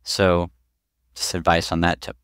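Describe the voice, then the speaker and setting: Male medical expert, 40s, podcast guest: low, smooth voice, explains casually in plain everyday terms. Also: monotone, measured pace, fairly bright tone, no echo, clean studio sound. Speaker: pediatric urologist, podcast guest. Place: small clinic office, video call. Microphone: headset boom mic.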